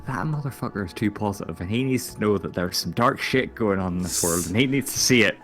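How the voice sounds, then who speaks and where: A man's voice: fairly low pitch, expressive, fast, a bit bright, soft background music. A young man from Finland with a Finnish accent, co-hosting a casual chat, rambling and swearing between laughs. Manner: rambling, profane, laughs often. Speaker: podcast co-host.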